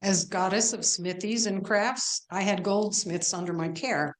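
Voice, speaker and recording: A woman's voice: mid-range voice, measured pace, natural voice. A woman playing a self-assured pagan goddess in a stage play, telling her myths in a matter-of-fact way. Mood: proud. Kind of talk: amateur stage play performance.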